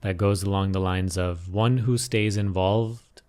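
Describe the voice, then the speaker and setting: Low-voiced man guiding a meditation. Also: fairly even intonation, darkish tone. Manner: unhurried instructing with repeated phrases and pauses. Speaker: meditation teacher. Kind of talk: guided meditation, unscripted gentle instruction.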